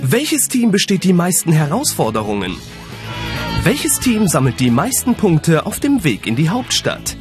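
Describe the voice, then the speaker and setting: A man's voice: fairly high pitch, wildly swooping pitch, unhurried. A man reading a scripted promotional voice-over. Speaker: narrator. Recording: clear guitar music underneath.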